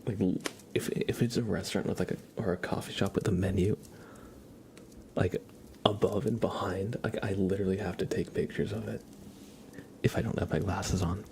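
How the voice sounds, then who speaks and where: A man chatting casually on an ASMR livestream in a soft, often whispered voice, relaxed and rambling, close to the microphone. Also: deep voice, moderate pace, long pauses, a little hiss or hum. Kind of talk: ASMR, casual chat with viewers.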